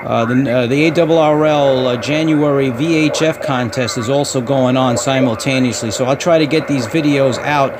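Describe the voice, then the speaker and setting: A man's voice: mid-range voice, normal speed, hardly any pauses. A man hosting as an amateur radio operator, explaining casually and giving phonetic call signs on air. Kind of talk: radio contest exchanges and casual explaining to viewers. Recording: noisy ham radio static.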